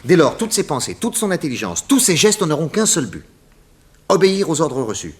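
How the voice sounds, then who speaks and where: Emphatic, swooping detective boss. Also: male voice, on the high side, sing-song. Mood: emphatic, explanatory. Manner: emphatic, didactic, theatrical, with dramatic pauses. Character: confident male detective boss explaining crimes to his assistant. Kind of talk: 1960s French radio detective serial acting.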